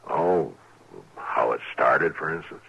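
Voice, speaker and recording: Deep, gravelly frontier marshal. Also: male voice, pushed and bright, full character voice. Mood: patient questioning. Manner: halting, flustered, stammering. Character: gruff, awkwardly kind frontier marshal. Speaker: radio drama actor. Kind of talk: old-time radio western acting. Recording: muffled, noisy old radio recording.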